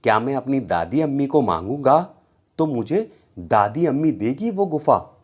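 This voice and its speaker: A man's voice: medium pitch, very animated, measured pace, darkish tone, husky. A man voicing an eager, curious young boy in a children's story, pleading and wondering. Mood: innocent wondering.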